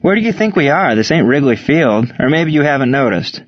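A man's voice: medium pitch, fairly even intonation, moderate pace, dry recording, muffled recording, lightly acted. Man acting a sarcastic, blunt army catcher.

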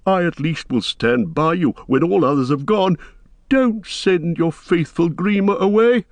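A man's voice: mid-range voice, very animated, unhurried, dry recording. A cringing, scheming counsellor to a king in a storybook tale. He fawns and wheedles in a sly whine, always obsequious. The recording is dry and close. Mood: whining, pleading. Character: cringing, servile, scheming male royal counsellor, sly and pleading.

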